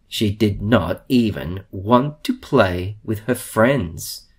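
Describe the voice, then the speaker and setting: A man reading a children's storybook aloud in a theatrical way, with drawn-out emphasis. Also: lowish voice, slightly rough. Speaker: storybook reader.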